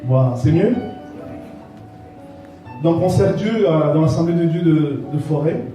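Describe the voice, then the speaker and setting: A male pastor with a slightly high voice talks informally to an audience, dropping joking asides. He is heard from off the mic in a very echoey room, with guitar music behind. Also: expressive, a little slow, warm tone, distant mic. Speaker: pastor, guest speaker.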